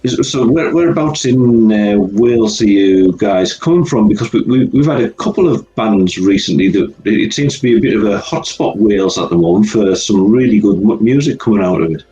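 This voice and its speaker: A man co-hosting a music interview, asking questions in a halting way with many fillers. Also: lowish voice, lively intonation, dark tone, dull audio. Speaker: co-host. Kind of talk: casual interview chat.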